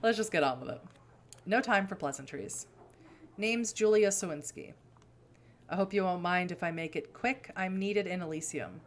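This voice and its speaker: A woman's voice: fairly low pitch, moderate pace, a little hiss or hum, close to an everyday voice. A woman voicing a brusque, blunt young woman in a story game, with an American accent. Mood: curt. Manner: curt and brusque. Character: gruff blunt woman, a brash young outsider.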